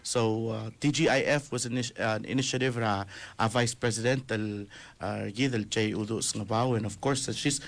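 A man hosting a casual radio chat in a small studio, friendly and full of filler pauses, speaking into a table mic. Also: on the low side, steady tone, gravelly. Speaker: radio show host.

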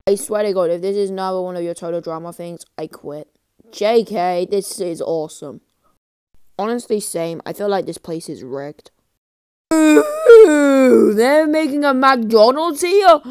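An amateur voice actor delivering exaggerated, exclamatory web cartoon lines, swinging between muttering and yelling. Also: mid-range voice, animated, unhurried, clean tone, no echo, studio quality.

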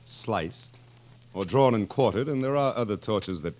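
A man's voice: fairly low pitch, expressive, moderate pace, darkish tone, close to an everyday voice. An actor playing a shrewd, sardonic king of France in theatrical 1940s radio drama style. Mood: wry, toying. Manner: sardonic, theatrical, hesitant asides. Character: shrewd, wry, imperious old-time king of France. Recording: 1940s radio broadcast recording.

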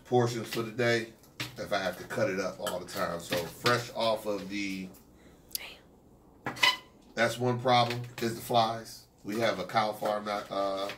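A man's voice: fairly low pitch, a little slow. A man in his forties talking casually while cutting meat for his kennel dogs in his backyard. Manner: relaxed, rambling, with fillers and chuckles. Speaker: dog kennel owner. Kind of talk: casual how-to vlog. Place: outdoor backyard patio. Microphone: phone camera from a few feet away. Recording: distant phone mic, noisy outdoors.

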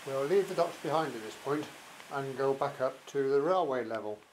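A man's voice: mid-range voice, lively intonation, fairly quick, a little hiss or hum, rough recording. A man narrating a walk outdoors, chatty and admiring, with wry asides. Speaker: walking vlogger narrating. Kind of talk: casual walking commentary. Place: outdoors by a rural estuary and canal.

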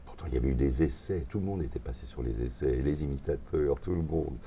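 Older man, voice actor, very deep voice, gravelly, relaxed storyteller, lapel mic, lounge. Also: fast talker, slight background noise. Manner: relaxed storyteller, gestures while talking. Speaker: voice actor, guest. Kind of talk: interview conversation. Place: hotel lounge, indoors.

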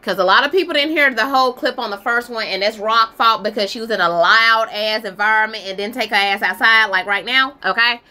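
A woman's voice: fairly high pitch, brisk, hardly any pauses, pushed and bright. A woman giving casual reaction commentary on gossip, sassy and exclamatory, talking straight to her listeners.

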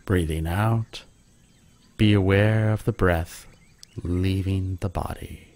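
A man leading a guided meditation with gentle, calm instruction. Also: deep voice, takes it slowly.

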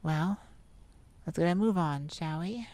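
Woman acting a low, unhurried feisty male vampire. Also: no echo, natural voice. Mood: gentle, sympathetic. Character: feisty, sardonic but softening male vampire companion. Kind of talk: ASMR roleplay monologue to the listener. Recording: steady rain ambience behind the voice. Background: rain falling.

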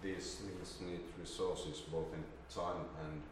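A middle-aged man presents informally at a seminar, hesitant and halting. His pace is slow, and he is picked up by a distant microphone with long reverb around his voice. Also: low voice, level tone. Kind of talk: informal presentation with slide demonstration. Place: indoor seminar room with projector screen. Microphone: distant camera microphone. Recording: roomy, echoey, distant mic, faint noise.

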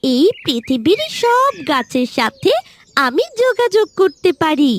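A woman's voice: high-pitched, big pitch swings, a little slow, slight background noise. A woman voicing a friendly, devoted talking tree in a fairy-tale cartoon, childlike, eager and caring.

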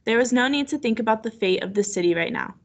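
A woman's voice: a little high, expressive, fast. A woman teacher reading a story aloud and guiding children through an online lesson on a video call.

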